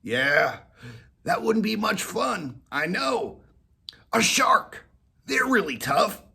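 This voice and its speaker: A man voicing Molly, a cheerful female collie, in a high squeaky excited voice while reading a storybook aloud. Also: slow, vocal fry, breathy edge, heavily acted. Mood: eager.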